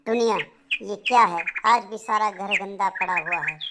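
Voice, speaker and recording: A woman's voice: mid-range voice, normal speed, noisy background, natural voice. A woman voicing a hard-working, put-upon husband bird in a children's cartoon story, talkative and reproachful. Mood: exasperated.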